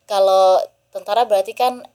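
A woman radio announcer with a Javanese accent, chatting in a relaxed way and laughing often. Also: medium pitch, normal speed. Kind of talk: casual radio chat.

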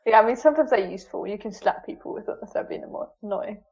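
A woman from Germany whose first language is German, chatting casually as a podcast guest, self-mocking and quick to laugh. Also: medium pitch, animated, creaky, a little mellow. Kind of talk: casual chat. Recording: dull lo-fi sound.

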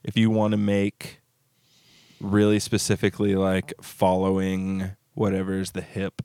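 A man co-hosting a podcast, talking in a relaxed, wry way and thinking aloud. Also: deep voice, unhurried, clear, resonant tone, dry recording, clean studio sound. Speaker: podcast co-host. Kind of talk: casual chat.

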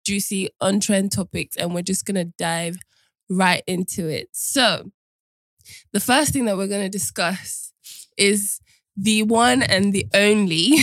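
Podcast host, woman, upbeat moderator, crisp bright tone, close dry studio sound. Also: medium pitch, dry recording. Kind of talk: casual panel chat.

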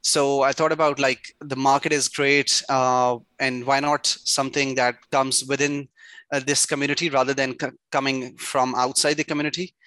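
Bright, flat-toned man, halting answers. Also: mid-range voice, dry recording, low-quality recording. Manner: hesitant, many "uh" fillers. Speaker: startup CEO, guest. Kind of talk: interview answers.

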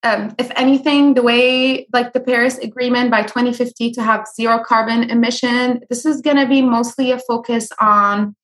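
A young woman from Syria, a food blogger, giving earnest advice in a relaxed interview with frequent ums and restarts. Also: on the high side, normal speed, hardly any pauses, low-quality recording. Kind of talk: conversational interview.

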